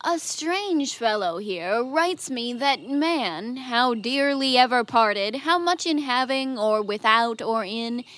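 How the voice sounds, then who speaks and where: A young woman plays a shrewd Greek commander in a Shakespearean audio drama. The voice is fairly high, and the verse is delivered in a deliberate, persuasive, rhetorical way. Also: expressive, a bit bright. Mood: musing, persuasive. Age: young adult. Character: Ulysses, shrewd, wily Greek commander, a man.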